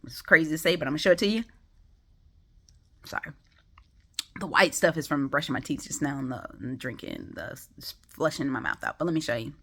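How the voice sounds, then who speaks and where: A woman's voice: deep voice, sing-song, fairly quick, creaky, long pauses, bright, forceful tone. A woman with a congested, sniffly voice talking casually to camera at home, rambling with many ums. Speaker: vlogger. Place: home, just woke up.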